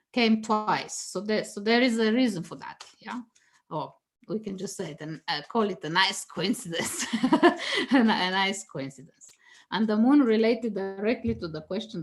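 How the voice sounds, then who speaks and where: A woman's voice: on the high side, fairly bright tone. A woman whose first language is Danish, a guest tarot reader talking casually and teaching, laughing often and restarting her phrases with many fillers.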